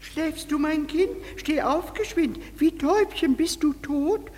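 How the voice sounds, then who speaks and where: A woman playing a melodramatically grieving lover in a radio play, declaiming clumsy tragic verse in drawn-out laments. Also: high voice, darkish tone. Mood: melodramatic grief. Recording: long hall reverb, faint music behind.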